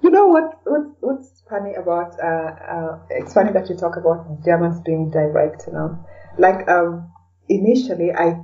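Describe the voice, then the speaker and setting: A woman's voice: fairly low pitch, animated, moderate pace. A woman from Kenya with a Kenyan accent, chatting as an interview guest and often adding "you know" to her sentences. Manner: peppers talk with "you know". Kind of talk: casual conversational interview. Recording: distant, slightly dull sound.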